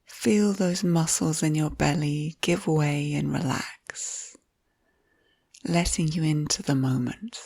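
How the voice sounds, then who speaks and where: A woman narrating a scripted guided visualisation in a soft, soothing, unhurried manner, with breathy phrase endings. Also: low voice, dry recording. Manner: soothing, unhurried, gentle instructions.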